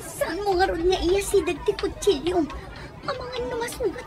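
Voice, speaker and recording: A woman's voice: very high-pitched, moderate pace, crowd noise. A woman playing a frightened, meek young captive in a radio drama, timid and plaintive, with an Ilocano Filipino accent. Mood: nervous. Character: frightened, meek young female captive pleading with her captor.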